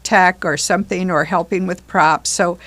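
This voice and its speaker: A woman's voice: fairly low pitch, fairly even intonation, moderate pace, fairly bright tone, no room sound. An older woman, a community theater member, talking casually in an interview in loose, chatty explanations. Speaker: member and performer in a seniors' readers theater group.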